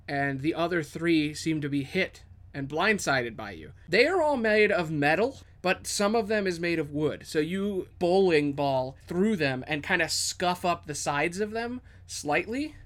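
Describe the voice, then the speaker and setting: A man with a fairly high voice runs a tabletop game as game master. He improvises descriptive scenes with dramatic storytelling. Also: animated, measured pace, a bit bright.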